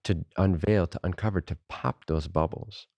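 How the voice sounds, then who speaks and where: A man leading a guided meditation as a teacher, unhurried and informal, speaking in short phrases with pauses between them. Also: low voice, a little mellow, dry recording.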